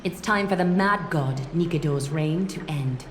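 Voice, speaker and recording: A woman voicing a solemn, authoritative demigod leader in a video game, speaking formally and with measured command. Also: low voice, noisy background, natural voice. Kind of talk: English video game voice acting. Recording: echoey, music underneath. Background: game music.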